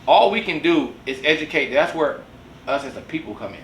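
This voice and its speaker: A man's voice: a little high, animated, fairly quick, reverberant, far from the mic, a little hiss or hum. A man in his forties hosting a casual podcast discussion, talking earnestly and preachily and often asking "you know what I'm saying?". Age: 40s. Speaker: podcast host.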